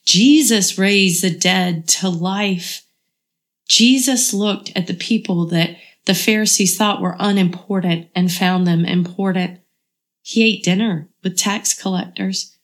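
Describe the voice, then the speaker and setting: A woman's voice: medium pitch, takes it slowly. A woman hosting a devotional podcast, earnest and encouraging, her voice a bit froggy from a cold. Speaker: podcast host.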